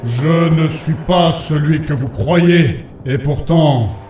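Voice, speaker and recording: A man's voice: on the high side, slow pace, a little mellow. A man playing an all-powerful, menacing villain in a fantasy audio drama, cryptic, solemn and ominous. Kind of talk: French fantasy audio fiction acting. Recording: echoing, distant, music underneath.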